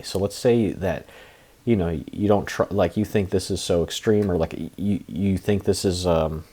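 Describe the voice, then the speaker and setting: A young man who is sick and a bit hoarse, rambling through a screen-share lesson with many fillers and restarts. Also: sits low, darkish tone. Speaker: trading tutorial presenter. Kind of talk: casual screen-share tutorial.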